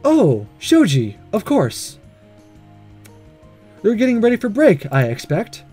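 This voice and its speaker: Man voicing gentle, measured woman school principal. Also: sits high, huge pitch swings, breathy edge, faint music behind, heavily acted. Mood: calm, curious. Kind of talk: reading visual novel dialogue aloud.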